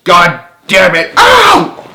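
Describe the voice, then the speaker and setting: A man's voice: medium pitch, moderate pace, roomy sound, very noisy, natural voice. A man voicing a short-tempered young man who screams in frustration. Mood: furious. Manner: exasperated, shouting.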